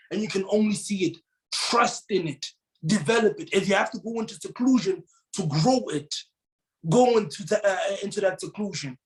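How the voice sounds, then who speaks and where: A man's voice: sits high, low-quality recording. Earnest South African man, motivational. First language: Tswana. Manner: earnest, repeats words, rises to emphatic bursts. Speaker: guest speaker. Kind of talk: motivational storytelling.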